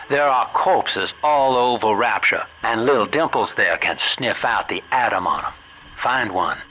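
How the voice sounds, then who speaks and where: A man's voice: mid-range voice, noisy background, natural voice. A man playing a folksy, scheming businessman with an American Southern accent, guiding the player in a video game with avuncular salesman patter. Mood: brisk, urging. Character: folksy, scheming male businessman, smooth opportunist guide. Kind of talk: video game voice acting, radio guidance.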